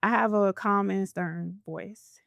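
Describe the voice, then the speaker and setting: A woman's voice: medium pitch, fairly even intonation, slow, creaky, fairly bright tone, dry recording, muffled recording. A woman podcast host talking in an earnest, reflective way as she shares a spiritual story in a casual interview.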